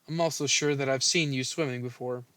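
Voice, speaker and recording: A man's voice: mid-range voice, fairly even intonation, a little fast, a bit bright, clean tone, no room sound. A young man casually reading a story aloud and bantering about it. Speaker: host reading own old fan fiction.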